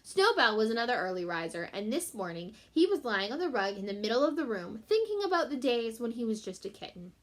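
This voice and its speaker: A woman's voice: a little high, very animated, fairly quick, few pauses. A woman teacher reading a children's storybook aloud, expressively, with chatty asides and simple explanations for her pupils.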